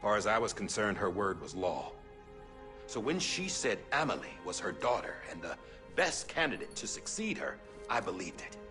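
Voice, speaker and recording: An actor playing a grim middle-aged man recording a measured confession in a video game cutscene. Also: on the low side, sing-song, over music. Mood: grave. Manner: grave, measured confessional monologue. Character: grim middle-aged male director, resolved. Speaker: agency director recording a confession. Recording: game score playing loudly behind.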